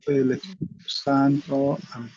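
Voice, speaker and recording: A man's voice: medium pitch, moderate pace, a little mellow, no room sound. A man leads prayer and moderates an online meeting over lo-fi call audio. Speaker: host and prayer leader.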